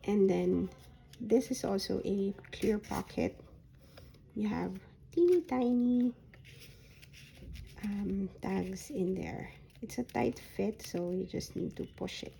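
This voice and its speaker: A woman with a hoarse voice that is recovering from a sore throat, showing a handmade journal folio in a soft, unhurried way with frequent ums. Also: mid-range voice, slight background noise, rough recording. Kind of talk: slow, casual craft flip-through.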